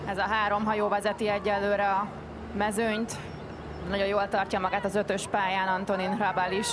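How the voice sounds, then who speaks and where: A woman giving live commentary on a canoe sprint race, switching between two languages in a steady delivery. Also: mid-range voice, background noise.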